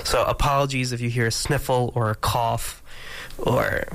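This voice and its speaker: Congested, gravelly male radio DJ. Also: mid-range voice, steady tone, normal speed, a bit bright, slight background noise. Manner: rambling, many ums and restarts. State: congested from allergies. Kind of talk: casual radio chat between songs. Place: radio studio.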